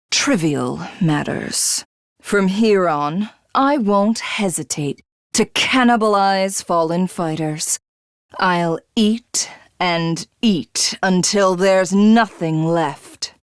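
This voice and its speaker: A woman's voice: on the low side, clear, resonant tone, dry recording, studio quality. A woman voicing a megalomaniac alien warrior from a video game, haughty and theatrical, who devours rivals to become a god. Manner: haughty, grandiose, theatrical, self-satisfied. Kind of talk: video game character voice acting.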